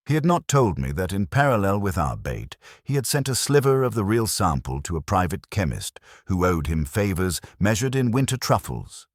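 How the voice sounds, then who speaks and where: Very deep-voiced man narrating detective fiction. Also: no room sound, clean studio sound. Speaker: audiobook narrator. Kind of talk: first-person detective story narration.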